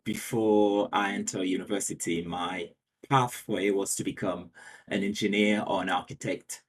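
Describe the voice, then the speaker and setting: Husky low-voiced man. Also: steady tone, normal speed. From Haiti, grew up partly in France. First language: Haitian Creole. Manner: soft-spoken, careful, occasional non-native phrasing. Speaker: guest, language teacher. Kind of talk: podcast interview conversation.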